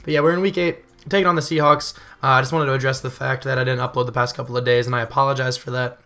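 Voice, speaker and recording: A young man with a voice still hoarse from illness, giving casual, rambling video game commentary and often correcting himself. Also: medium pitch, fairly even intonation, very fast, fairly bright tone. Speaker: gaming channel host.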